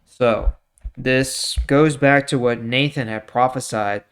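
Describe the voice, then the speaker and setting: A man with a medium-pitched voice hosts a scripture reading series. He reads in a storytelling way and adds informal, explanatory remarks in a casual manner. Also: steady tone, takes it slowly, a bit bright, clean tone. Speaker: host of a daily Bible reading series.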